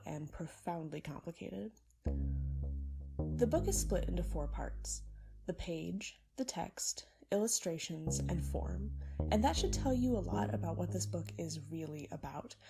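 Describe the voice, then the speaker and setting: A woman's voice: lowish voice, creaky. A woman reads a scripted video essay in a conversational, emphatic way, with wry, informal asides. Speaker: library student presenting a book review. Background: background music.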